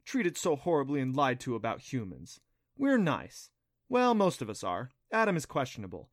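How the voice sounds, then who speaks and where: A man's voice: medium pitch, huge pitch swings, normal speed, dry recording, studio quality. A man voicing a sweet, plucky young girl in an audiobook, with a lilting, cooing and teasing way of speaking. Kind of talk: audiobook character voice.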